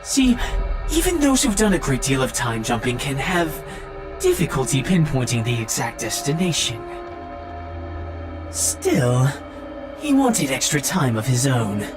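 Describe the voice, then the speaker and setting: A man's voice: medium pitch, sing-song, measured pace, over music. A man voicing a smug, know-it-all artificial intelligence from a sci-fi visual novel, teasing and cryptic. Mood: matter-of-fact. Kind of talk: visual novel character voice read by player.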